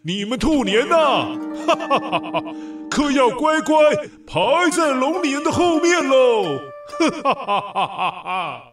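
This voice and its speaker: A man voicing an arrogant, boastful dragon in a children's audio story, with haughty mockery and drawn-out words. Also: big pitch swings, a bit bright, heavily acted. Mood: boastful, threatening. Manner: haughty, mocking, drawn-out words, booming laughs. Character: arrogant male dragon challenger, boastful and taunting. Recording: echoey, distant voice with music behind.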